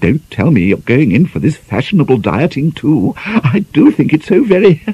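A man's voice: a little high, sing-song, normal speed, husky, dull audio, pitched above the performer's own voice. A man voicing a kindly, fussing lady hostess in an audiobook, coaxing and fluttery.

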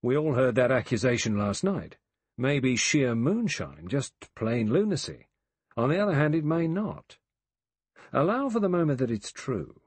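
A man voicing a blunt, cocksure male investigator in an audiobook, forceful and colloquial, with rhetorical questions. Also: mid-range voice, husky, no room sound.

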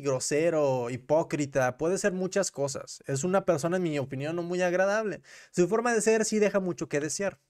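A man's voice: sits high, a little mellow. A young Mexican man giving casual livestream commentary in an informal, slangy, rambling way, correcting himself as he goes, close on a desk mic at home. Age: young adult. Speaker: commentary streamer and host. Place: small room at home. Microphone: close desk microphone on a boom arm.

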